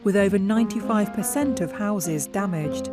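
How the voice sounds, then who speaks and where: A woman reading scripted historical narration in a solemn, measured way. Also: medium pitch. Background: faint music.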